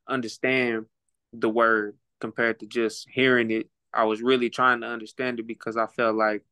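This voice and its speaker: A man's voice: medium pitch, level tone, normal speed, bright, forceful tone, clear, resonant tone, no echo. A man with an Oklahoma accent, talking as a guest on a casual podcast in a laid-back, reflective way, repeating words while he thinks. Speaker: guest, rapper and boxer.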